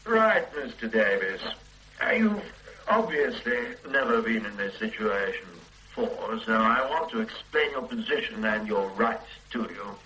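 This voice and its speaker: An actor in a radio play voicing a formal, methodical police detective who speaks in a measured, procedural way. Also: male voice, lowish voice, expressive, sharp tone, a little hiss or hum, low-quality recording.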